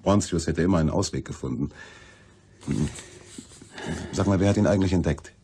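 An actor playing a cynical, flippant newspaper reporter in a crime radio play, with sardonic delivery. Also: male voice, deep voice, brisk, warm tone, a little hiss or hum. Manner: sardonic, dry wisecracking.

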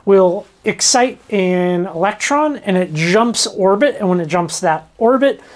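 Casual man explaining things. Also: high-pitched. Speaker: host of a rockhounding channel. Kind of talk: casual explainer talking to camera. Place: cluttered indoor workshop. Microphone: lavalier clipped on shirt.

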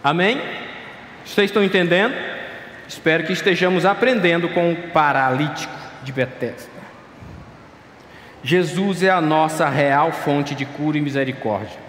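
A pastor in his thirties preaching a sermon at a pulpit, passionate and pleading, dropping to quiet asides. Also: on the high side, moderate pace, slight background noise. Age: 30s. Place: large dark church stage at a pulpit. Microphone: stand mic at a clear pulpit. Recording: echoey church hall, faint ambience.

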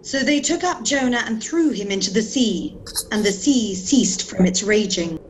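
A woman's voice: mid-range voice, lively intonation, normal speed, a little hiss or hum, rough recording. A woman in her thirties giving a scripted narration of scripture. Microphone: video call.

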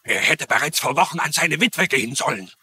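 An actor playing a stubborn, indignant old man with a gruff, combative manner in a dubbed TV crime drama. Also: fairly high pitch, expressive, brisk, pushed and bright, exaggerated voice. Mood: indignant, defiant. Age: older.

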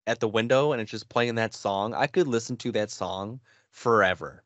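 A man in his twenties co-hosting a podcast, chatting casually in halting phrases. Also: lowish voice, fairly bright tone, no echo. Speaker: podcast co-host. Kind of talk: casual chat. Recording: dull, lo-fi voice call sound.

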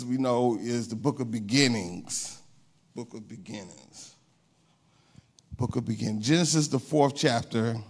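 A middle-aged man preaching in a church with a handheld microphone, chatting with the congregation in a conversational sermon. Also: medium pitch. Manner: conversational preaching with call-and-response asides. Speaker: pastor. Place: church sanctuary, indoors.

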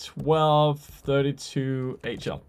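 A man in his forties giving an unscripted software tutorial, thinking aloud and halting as he demonstrates. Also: mid-range voice, clear, resonant tone. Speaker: product demonstrator.

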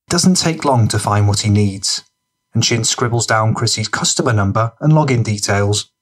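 Guy narrating a gritty crime audiobook, husky voice, mid pitch. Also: a bit bright.

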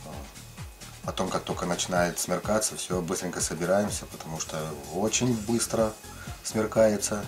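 A man's voice: deep voice, fairly even intonation, normal speed, over music. A middle-aged man casually explaining his ice fishing tackle, rambling with many fillers and restarts. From lived in Ukraine, now in the Russian far north.

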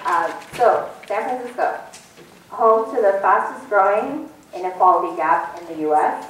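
A woman in her forties whose first language is Tagalog, moderating a community panel on a handheld mic and reading measured remarks from notes. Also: medium pitch, lively intonation, recorded from a distance, very noisy. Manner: clear, measured, reads from notes. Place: community center hall with audience. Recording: echoey hall, voice a bit off-mic.

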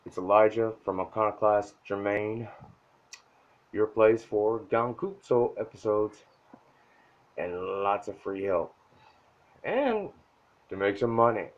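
A soft-spoken man giving a blunt, profane, pushy motivational talk straight to the camera as a self-help vlogger. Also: fairly low pitch, lively intonation, takes it slowly, long pauses, dull audio. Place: indoor room at a whiteboard. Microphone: phone camera mic.

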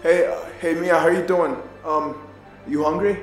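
A man's voice: fairly high pitch, fairly even intonation, unhurried, natural voice. An actor playing a weary, sweary survivor narrating his own trek in a dramatic audio series. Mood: awkward, casual. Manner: casual, hesitant in dialogue, swearing. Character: sweary, weary male survivor trekking through snowy wilderness. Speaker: narrator and lead character. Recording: music bed under the voice. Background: soundtrack music.

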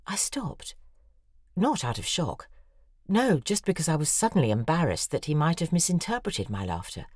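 An obsessive, intense woman in love confesses in an intimate audio drama monologue. Her voice is deep and husky, with very lively swings of pitch. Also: moderate pace, no room sound, clean studio sound, full character voice. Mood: wistful, intimate.

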